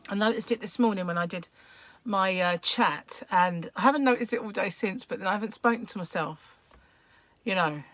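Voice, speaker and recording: A woman hosting a crochet livestream, chatting casually and greeting viewers by name, her voice croaky today with frequent throat clearing. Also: medium pitch, moderate pace, a bit bright, no echo. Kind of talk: casual live-stream chat while crocheting.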